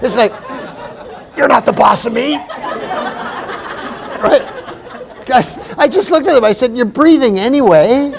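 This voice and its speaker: A middle-aged Canadian man giving a comic motivational talk to a live audience, telling stories in an animated way and repeating phrases for emphasis. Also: extremely high pitch, measured pace, creaky, pauses often, crowd noise. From Maritime Canada. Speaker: humorous keynote speaker.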